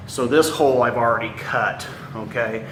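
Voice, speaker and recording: A man's voice: mid-range voice, moderate pace, a bit bright. A man presenting a casual, unscripted how-to walkthrough as a home installer, explaining step by step. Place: indoors, room of a house being remodeled. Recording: echoey room, clear background noise.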